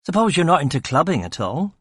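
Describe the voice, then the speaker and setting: A man reading aloud and putting on an eager young constable who chatters away in a breezy, lifted voice. The delivery is dry and close, with a quick, obliging manner. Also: a little high, big pitch swings, fairly bright tone, breathy edge, dry recording, pitched above the performer's own voice, exaggerated voice. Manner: brisk, breezy and talkative.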